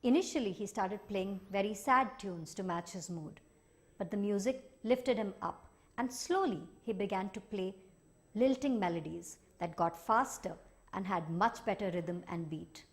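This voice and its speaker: A woman narrating a children's folk tale expressively, with dramatic, deliberate phrasing and emphatic repetition. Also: mid-range voice. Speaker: storyteller.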